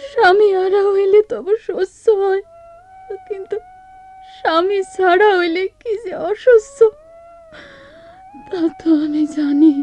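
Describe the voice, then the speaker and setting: An actress playing an ailing, abandoned village wife in a radio drama, tearful and halting, with a rural Bangladeshi accent. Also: female voice, extremely high pitch, a little mellow, a little hiss or hum. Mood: tearful pleading. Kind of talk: melodramatic radio drama acting. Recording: dull lo-fi radio drama recording.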